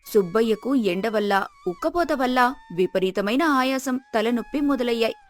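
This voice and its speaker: A woman's voice: fairly high pitch, moderate pace. A woman narrator reading a scripted moral story. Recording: music behind the voice. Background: music.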